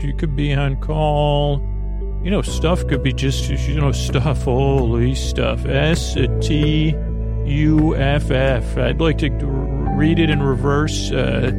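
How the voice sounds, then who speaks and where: A man hosting a bedtime podcast in a creaky voice, meandering gently through silly tangents and halting self-corrections. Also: mid-range voice. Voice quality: creaky, gravelly. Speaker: podcast host. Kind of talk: rambling, soothing bedtime monologue.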